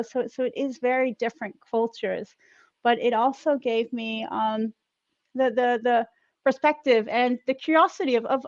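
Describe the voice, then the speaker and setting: This is a woman with a fairly high voice, answering interview questions in a conversational way. She works in corporate mobility and talks from home. The sound is dry, with little room in it, but somewhat dull and lo-fi, as on an online call. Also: no echo. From Netherlands and South America, mostly Colombia. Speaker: interview guest, corporate global mobility manager.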